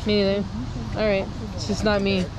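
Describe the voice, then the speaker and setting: A woman's voice: mid-range voice, little pitch movement, moderate pace, over a noisy crowd, poor audio. A woman chatting casually while filming outdoors on a handheld camera. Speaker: vlogger behind the camera. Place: outdoors by and on a river.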